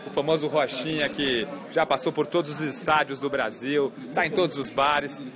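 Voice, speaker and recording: A lively man reports from a busy pub on a handheld mic, his voice sitting a little high. He chats and jokes with fans while a crowd clamors loudly around him. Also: normal speed. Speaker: field reporter. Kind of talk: on-location sports report and street interviews. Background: crowd chatter.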